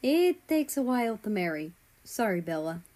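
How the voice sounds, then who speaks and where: A woman voicing a gentle teenage big brother in an audiobook, quiet and reassuring. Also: mid-range voice, big pitch swings, moderate pace, close to an everyday voice.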